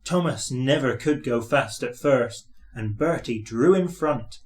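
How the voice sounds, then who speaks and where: A man's voice: mid-range voice, a little slow, a little mellow. A man reading a children's story aloud as scripted narration.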